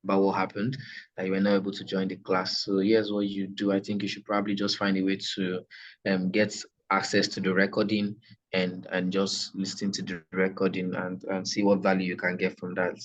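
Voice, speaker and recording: A man teaching a digital marketing class over a video call, talking in a relaxed, chatty way with many fillers and restarts. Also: sits low, brisk, highs cut off. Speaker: online class instructor. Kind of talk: casual online teaching. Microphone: computer mic on a video call.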